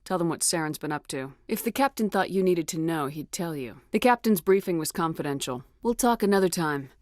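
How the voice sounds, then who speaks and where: A woman playing a tough, steady female military officer in a video game, speaking with firm, clipped authority. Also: fairly low pitch, fairly quick, no echo, clean studio sound, close to an everyday voice. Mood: businesslike. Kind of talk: video game dialogue acting.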